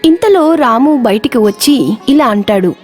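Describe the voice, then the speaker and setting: High, lively female storyteller over music. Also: measured pace. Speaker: narrator. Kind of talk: scripted children's story narration.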